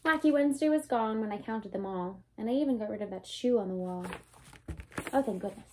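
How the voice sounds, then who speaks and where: Higher-pitched young woman reading a children's story. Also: a little fast, background noise. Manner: expressive, addressing children directly. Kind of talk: read-aloud picture book storytelling for young children. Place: small room indoors.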